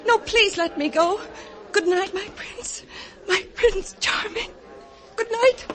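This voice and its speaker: An actress playing a sweet, demure, fluttery young fairy-tale maiden with formal old-fashioned speech on vintage radio. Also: female voice, very high-pitched, slow pace, fairly bright tone, exaggerated voice. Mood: anxious, tearful. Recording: vintage radio drama with music.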